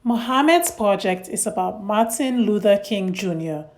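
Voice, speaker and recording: A woman hosting and narrating a read-aloud story for young children in simple, deliberate phrasing. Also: mid-range voice, lively intonation.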